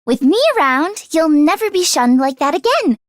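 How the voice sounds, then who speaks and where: A woman voicing an earnest, excitable teenage girl fighter in a video game, caring and anxious, with expressive, emotive delivery. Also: sits high, normal speed, no room sound, studio quality. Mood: protective. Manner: expressive and emotive, with sighs and gasps. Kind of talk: English video game voice acting.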